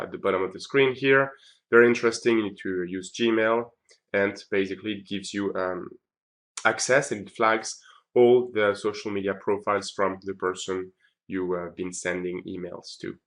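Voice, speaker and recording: A man's voice: low-pitched, steady tone, moderate pace, a bit bright. A man giving a chatty conference recap on his video blog, with many ums and uhs. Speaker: video blogger.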